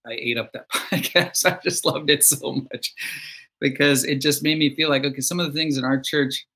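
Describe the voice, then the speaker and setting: A man's voice: medium pitch, fairly even intonation, moderate pace, sharp tone, slightly rough. A man being interviewed as a songwriter guest, talking in a reflective, conversational way. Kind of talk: casual conversation.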